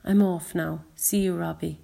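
A woman's voice: lowish voice, steady tone, normal speed, vocal fry, mellow. A woman voicing a remorseful, kind Scottish father, earnest and apologetic, with a wobbly voice, in an audiobook story. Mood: gentle, apologetic. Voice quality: wobbly, emotional voice. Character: remorseful Scottish father who caused an accident, upset and kind.

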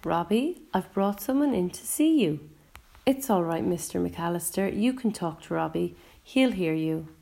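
A woman's voice: lowish voice, expressive, normal speed, creaky, warm tone. A woman voicing an anxious mother in an audiobook story, earnest and urging as she speaks to those around her. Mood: gentle, coaxing. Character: anxious, pleading mother at her sick son's bedside.

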